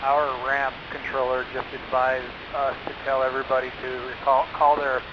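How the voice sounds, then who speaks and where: A man's voice: mid-range voice, completely flat delivery, moderate pace. A man, an airline pilot making conversational calls over aviation radio. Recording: very noisy muffled cockpit radio.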